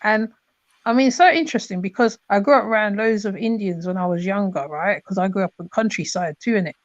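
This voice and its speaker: A woman's voice: medium pitch, fast, no room sound. A woman from Trinidad, chatting casually and rambling with many restarts and fillers. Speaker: host. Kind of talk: casual chat. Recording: dull lo-fi sound.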